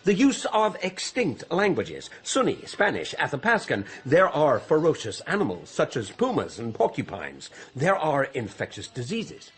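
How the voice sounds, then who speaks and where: An actor playing an officious, long-winded, condescending warden in a radio drama, with a pompous reciting manner. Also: male voice, a little high, wildly swooping pitch, moderate pace, few pauses, fairly bright tone. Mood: grimly relishing. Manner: pompous, droning official recital with throat-clearing.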